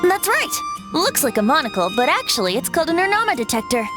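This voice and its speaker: A man's voice: extremely high pitch, very animated, fast talker. An actor playing a playful young male bard who is teasing, whimsical and theatrical, and fond of wordplay. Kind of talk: game voice acting. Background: game music.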